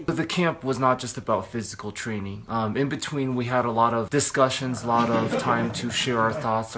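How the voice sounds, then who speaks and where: An American man giving an interview testimonial as a camp participant. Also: fairly low pitch. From United States. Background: music.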